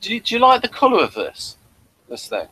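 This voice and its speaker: A man hosting a casual commentary chat about a TV episode, repeating words haltingly as he thinks. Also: high-pitched, wildly swooping pitch, sharp tone, breathy edge, low-quality recording. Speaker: podcast host.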